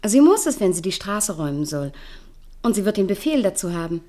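Sardonic woman with very lively intonation, acted. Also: mid-range voice, a little fast, lightly acted. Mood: firm. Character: Solange, cool sardonic Parisian woman, secretly tender. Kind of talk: German public radio play dialogue.

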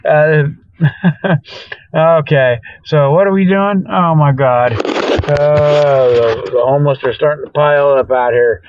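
A man's voice: a little high, slow, background noise. A middle-aged man who sounds a little tired, hosting a comedy show with a rambling, folksy, jokey improvised monologue. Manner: folksy, jokey, meandering, chuckles at own jokes. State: tired, weary. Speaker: host of a comedic Bigfoot show. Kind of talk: rambling improvised monologue with mock sales pitches. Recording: dull lo-fi radio-style audio.

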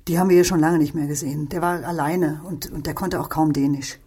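An actress playing a brothel madam in a German radio crime drama, her voice husky and breathy. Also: deep voice, steady tone, quick pace, mellow. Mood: frightened. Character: brothel madam, sultry saleswoman, frightened when pressed.

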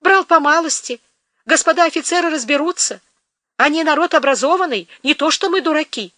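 A woman's voice: big pitch swings, normal speed, fairly bright tone, dry recording, studio quality. A woman voicing a frightened, fawning peasant man who talks in a nervous, ingratiating way. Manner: nervous, high-pitched, ingratiating.

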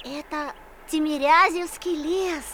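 A woman voicing a young boy who narrates a musical audio play with gentle storytelling. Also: sits high, slow, a little hiss or hum.

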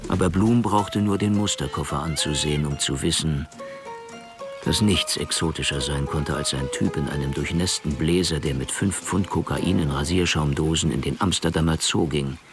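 A man's voice: extremely low pitch, normal speed, over music. A man narrating a crime radio play in a scripted, literary storytelling style.

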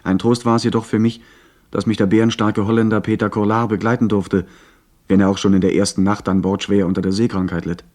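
A young gentleman played by a man recounts his seafaring adventure in a fairly low voice. His radio-drama narration is composed, literary and clearly scripted. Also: level tone, a little fast, close to an everyday voice.

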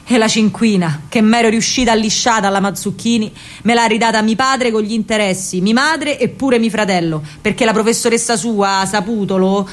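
A woman's voice: mid-range voice, natural voice. An actress playing a spirited, rebellious young working-class Roman woman, telling her story colloquially in a theatrical radio monologue with a Roman accent. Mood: amused, animated. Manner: colloquial, vivid, ironic storytelling.

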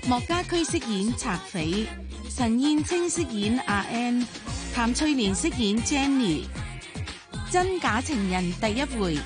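A woman's voice: on the high side, animated, a little slow. A woman with a Hong Kong Cantonese accent reading scripted radio drama credits as an announcer. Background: music.

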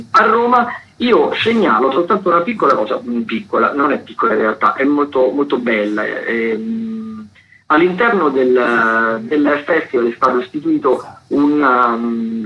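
A man's voice: medium pitch, pushed and bright, highs cut off. A man hosting a radio show, presenting the week's theatre events with many hesitations, fillers and restarts. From Italy. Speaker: radio host. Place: radio studio.

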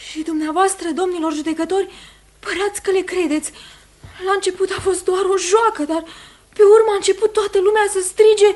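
An actress playing a frightened, submissive young servant girl in a radio drama, halting and pleading. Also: very high-pitched, darkish tone.

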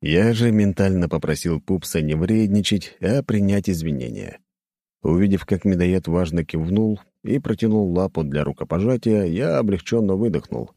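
A man's voice: sits low, lively intonation, moderate pace, mellow, no room sound, clean studio sound. A man narrating first-person fiction as an audiobook reader, in a scripted storytelling style.

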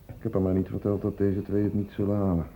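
A man's voice: lowish voice, completely flat delivery, quick pace, dark tone, close to an everyday voice. A man playing a weary, embittered hospital doctor in a Dutch radio drama with a noisy recording. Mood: weary, bitter. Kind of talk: Dutch radio play acting.

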